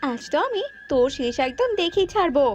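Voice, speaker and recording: Woman voicing a high-pitched fiery cartoon bird wife. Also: sing-song, moderate pace, darkish tone, highs cut off. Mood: angry scolding. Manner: shrewish, emphatic, emotional. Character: fiery, scolding yet devoted female bird wife. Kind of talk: cartoon voice acting. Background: music.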